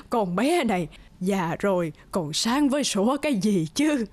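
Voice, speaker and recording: A woman's voice: mid-range voice, sing-song, slow pace, husky, no room sound, full character voice. An actress playing a kind, doting old neighbour woman in a cartoon, with an affectionate, fondly chiding manner. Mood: modest, chiding. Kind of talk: cartoon voice acting.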